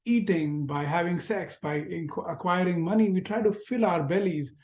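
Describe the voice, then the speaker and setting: A man with an Indian accent giving a reflective spiritual lecture to an online class, asking gentle rhetorical questions. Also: high-pitched, normal speed. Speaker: teacher. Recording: roomy echo.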